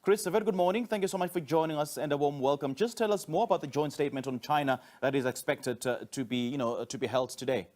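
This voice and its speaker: A man's voice: fairly high pitch, fast, few pauses, a little mellow. A man working as a news anchor, putting questions to a correspondent in a live broadcast.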